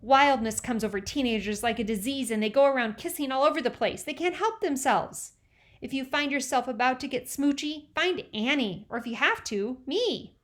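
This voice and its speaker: A woman doing a shrill bossy seven-year-old girl. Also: close to an everyday voice. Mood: prim lecturing. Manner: loud, scolding, drawn-out words.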